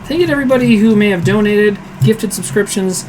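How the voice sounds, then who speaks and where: Hoarse man chatting while cooking. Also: high-pitched, fast, background noise. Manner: chatty, self-deprecating, answers chat aloud while cooking. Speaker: streaming cooking show host. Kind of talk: casual cooking livestream chat. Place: home kitchen.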